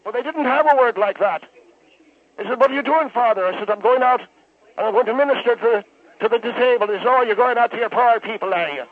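Over a tinny phone line comes a cantankerous elderly Irish priest with a high-pitched voice. He rambles in an old-fashioned, self-righteous way, like a comic call-in character on the radio. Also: a little fast. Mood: earnest, rambling.

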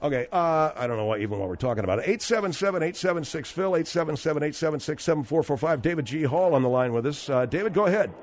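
A man hosting a radio talk show, halting with many fillers as he quizzes his guests. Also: on the high side, lively intonation.

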